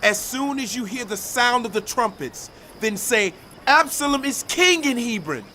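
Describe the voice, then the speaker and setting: A proud, persuasive young prince in a scripture audio drama. His voice is high and swings widely as he declaims insistently, with light noise behind. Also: expressive, normal speed, bright, forceful tone, full character voice. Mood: commanding.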